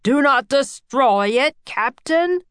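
A commanding male professor from a children's storybook, put on by a woman narrator. He is eager and authoritative, calling out orders with his pitch pushed up. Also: slow pace, no echo.

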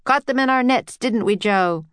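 Woman voicing an eager, raised-pitch scientist man. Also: normal speed, a bit bright, clear, resonant tone, no echo, natural voice. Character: eager, friendly Victorian scientist man, proud explorer. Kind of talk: children's audiobook character voice.

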